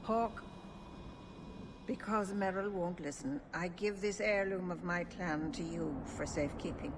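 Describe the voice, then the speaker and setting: A woman's voice: on the low side, unhurried, close to an everyday voice. A woman playing a grave, protective older elf clan leader in a video game cutscene, speaking solemnly and with measure. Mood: pleading warning. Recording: noisy game ambience behind.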